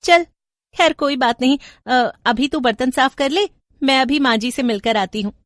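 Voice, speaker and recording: Woman as young daughter-in-law, excitable, exclaiming, very high pitch, horror storybook, dry recording. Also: moderate pace, no room sound, lightly acted. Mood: bossy, relieved. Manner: exclaiming, emotive.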